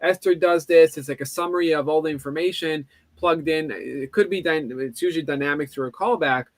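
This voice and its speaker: A man hosting a video call, eagerly giving quick explanations and short encouragements. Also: fairly high pitch, dry recording. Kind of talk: casual video call discussion.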